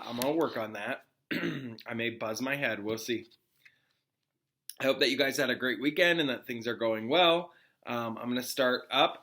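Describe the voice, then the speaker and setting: A man's voice: mid-range voice, sharp tone. A man who is a classroom teacher, reading a storybook aloud expressively with casual, informal asides to pupils.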